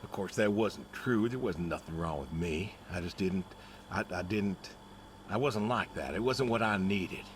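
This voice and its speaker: Casting: male actor as middle-aged farmer for a narrative game, very low pitch, folksy and understated, grieving stubbornly; noisy ambient recording. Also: normal speed, close to an everyday voice. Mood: hurt, defensive. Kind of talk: naturalistic dramatic game dialogue.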